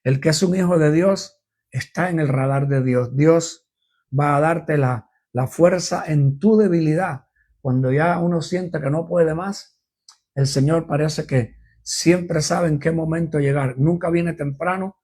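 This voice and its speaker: A man's voice: on the high side, unhurried, darkish tone, husky. An older man from Cuba, preaching informally on a live program, rambling through his testimony and repeating refrains.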